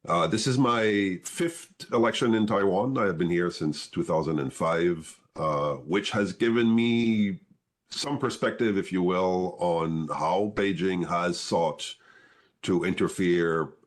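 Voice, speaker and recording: A man with a cold and a stuffy nose, giving prepared panel remarks as a research fellow over a video call, measured and courteous. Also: low voice.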